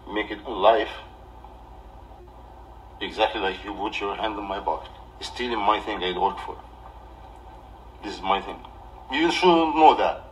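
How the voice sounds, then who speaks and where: A man in his thirties with an Egyptian accent, ranting off the cuff on a livestream in a defensive, halting, repetitive way. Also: on the low side. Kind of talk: off-the-cuff livestream rant to camera. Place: small living room indoors. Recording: distant mic with background noise.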